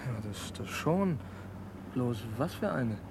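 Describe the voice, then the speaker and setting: Jittery careful sidekick in a crime radio play, mid voice, pleading, car noise all around. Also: male voice, mid-range voice, animated, takes it slowly, creaky. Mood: wary. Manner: worried, pleading. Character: nervous, cautious male accomplice, anxious to go home. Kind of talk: German radio crime drama acting.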